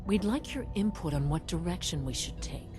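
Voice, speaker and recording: A woman's voice: fairly low pitch, animated, normal speed, music in the background, close to an everyday voice. A woman playing a composed senior scientist in a video game, formal and matter-of-fact. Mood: composed, firm.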